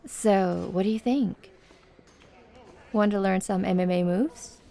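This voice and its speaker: A woman's voice: mid-range voice, slow pace, vocal fry, warm tone. A woman playing a flirty, confident tomboy MMA fighter in an ASMR roleplay, with a playful, teasing, coaxing manner. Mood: playful, inviting.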